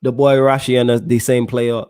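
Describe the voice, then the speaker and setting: A man's voice: medium pitch, level tone, moderate pace, darkish tone, clean tone, lightly acted. A man reading a fan comment in a put-on Jamaican accent, voicing a young male fan speaking patois with loose street slang. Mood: playful. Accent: Jamaican, put on badly.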